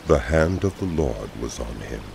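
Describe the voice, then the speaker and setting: A man narrating dramatized scripture in a formal, solemn and deliberate announcing style. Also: very deep voice, expressive, gravelly, noisy background. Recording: loud music behind voice. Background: loud music.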